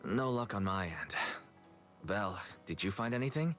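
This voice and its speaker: An easygoing young brother in a video game. He speaks in a lowish, courteous, mild way, with soft music underneath. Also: lively intonation, normal speed.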